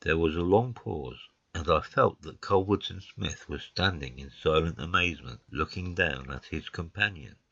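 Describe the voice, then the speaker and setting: An actor playing a detective's loyal friend, narrating a mystery story in the first person. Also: male voice, low voice, normal speed, creaky, hardly any pauses, fairly bright tone, breathy edge, no room sound, muffled recording, full character voice. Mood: earnest, hushed.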